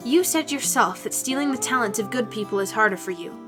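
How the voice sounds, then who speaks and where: Brief: female actor as earnest teen village girl, highish voice, defiant and challenging, fantasy audiobook over music. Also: animated, fairly quick, close to an everyday voice. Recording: music behind the voice.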